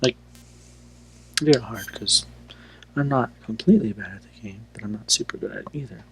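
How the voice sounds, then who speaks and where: A man's voice: fairly low pitch, brisk, a crowd in the background. A man giving casual, informal game commentary with a self-deprecating manner. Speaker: video game player and host. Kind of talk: casual live play-through commentary. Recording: clearly noisy home recording with hum.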